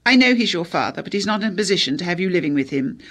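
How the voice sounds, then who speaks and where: A woman's voice: fairly low pitch, animated, racing, a bit bright. A woman voicing a haughty, antagonistic grand wife with a clipped upper-class English accent, in an audiobook. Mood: cool, condescending. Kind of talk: audiobook character dialogue.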